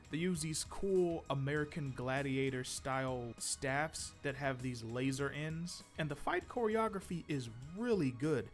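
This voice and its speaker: A man hosting a comic book video, reading scripted commentary in a conversational, opinionated way with joking asides. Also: on the high side. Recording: music behind the voice. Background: background music.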